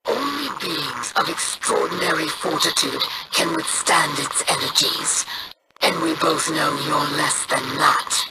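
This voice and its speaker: A woman's voice: low voice, expressive, a little slow. Game villain: cold alien pirate queen, commanding, heavy background noise. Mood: menacing. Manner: imperious, threatening.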